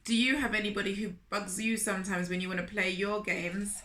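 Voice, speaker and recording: A woman reading a picture book aloud to young children, animated and speaking to them directly with questions. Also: mid-range voice, a little fast, a little hiss or hum. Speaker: children's storyteller, host of a storytime series.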